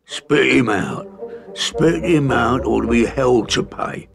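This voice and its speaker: A man's voice: medium pitch, animated, slow pace, gravelly, crowd noise, full character voice. A man voicing an irate, flustered innkeeper in a storybook, blustering and exclaiming pompously. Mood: furious.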